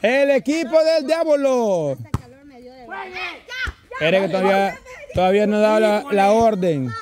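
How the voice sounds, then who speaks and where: A man's voice: sits high, animated, a little slow. A man with a Salvadoran accent hosting and refereeing outdoor games, teasing and urging players on with repeated phrases. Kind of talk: lively game commentary and banter. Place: outdoor park with dirt ground and trees. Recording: outdoors amid a loud crowd.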